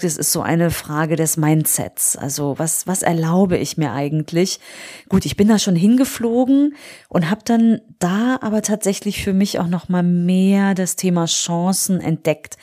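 Woman podcaster with a cold, mellow lowish voice, earnest encouraging chat. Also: measured pace. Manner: earnest, encouraging, addresses the listener directly. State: has a cold. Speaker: podcast host. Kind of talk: motivational solo podcast. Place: on holiday indoors.

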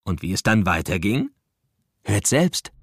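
Male narrator for kids' audio play: playful, dramatic, very animated, mid pitch, dry close sound. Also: unhurried, husky, no room sound. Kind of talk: scripted children's story narration.

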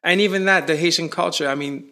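A man from Canada, a touring musician, chatting in an interview and telling stories with rising excitement. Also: on the high side, fast. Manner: animated storyteller, voice rising when excited. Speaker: musician guest. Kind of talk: casual interview.

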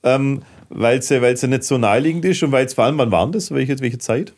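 A man's voice: medium pitch, a little fast, a little mellow. Enthusiastic, rambling middle-aged man. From southwest Germany (Heilbronn area). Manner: enthusiastic, rambling with self-interrupting sentences. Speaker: interview guest, filmmaker. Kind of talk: casual podcast conversation.

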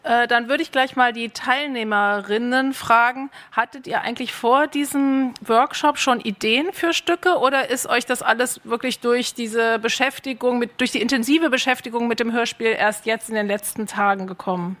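A woman's voice: a little high, moderate pace, hardly any pauses, fairly bright tone. A woman moderating a panel discussion at a festival, speaking in a friendly, inviting way to the audience. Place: panel stage at an arts festival. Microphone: handheld microphone.

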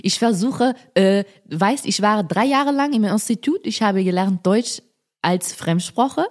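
Woman doing a chirpy young French girl, broken German, mid-pitched. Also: expressive, measured pace, lightly acted. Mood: playful. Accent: mock French. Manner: playful, exaggerated foreign German.

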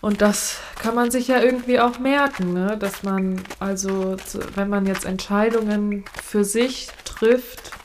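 A woman's voice: medium pitch, fairly even intonation, unhurried, hardly any pauses, slight background noise. Musing woman with a mid-range voice. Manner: reflective, musing in long trailing sentences. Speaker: podcast co-host. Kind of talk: casual podcast chat. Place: on location at a mine.

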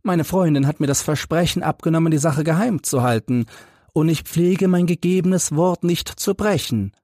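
A man's voice: on the high side, moderate pace, mellow, no room sound, studio quality, voice pushed up, close to an everyday voice. A man reading an audiobook as a shy, earnest young lady giving careful, formal testimony. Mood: earnest, resolute. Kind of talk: audiobook character narration.

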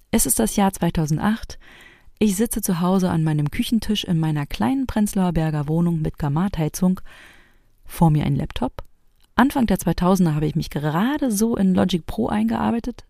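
Female narrator, forties, from East Berlin. Soft, dark tone, close dry recording. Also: medium pitch, no room sound. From East Berlin, Germany. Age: 40s. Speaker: host and narrator of a private audio piece. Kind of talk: personal scripted narration.

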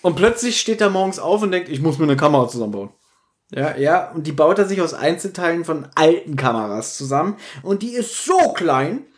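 A man's voice: fairly high pitch, sing-song, brisk. A man hosting a podcast in casual chat, retelling and critiquing as he goes in a bantering, teasing way. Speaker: podcast host.

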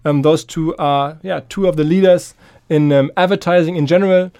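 A man hosting a podcast, giving a spoken introduction in a hesitant, halting way. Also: a little high, fairly even intonation, mellow, smooth, dry recording, studio quality. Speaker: podcast host introducing the episode.

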